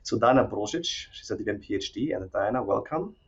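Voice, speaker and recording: A man's voice: fairly low pitch, animated, a little fast, slightly rough, dull audio. A man hosting an interview podcast as a professor talking with a guest. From Austria.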